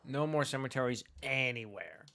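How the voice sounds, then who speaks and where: A man's voice: mid-range voice, lively intonation, moderate pace, creaky, bright, forceful tone, clear, resonant tone. A man live streaming a video game with casual, flippant, wisecracking commentary.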